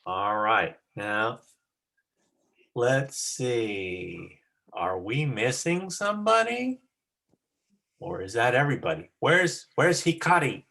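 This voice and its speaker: A woman's voice: a bass voice, very animated, takes it slowly, long pauses, a bit bright. A woman teacher chatting casually as she hosts an online class on a video call, encouraging and often repeating back what others say.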